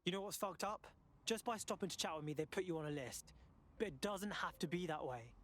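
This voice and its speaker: An actor playing an earnest, friendly resistance recruit in a video game, speaking casually. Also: male voice, sits high, fairly quick, a bit bright. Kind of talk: video game cutscene acting.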